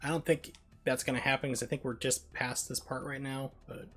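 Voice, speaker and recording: A man's voice: medium pitch, steady tone, fairly quick, a bit bright, soft background music. A man giving casual, rambling, opinionated live commentary as he streams a video game and chats with viewers. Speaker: game livestreamer.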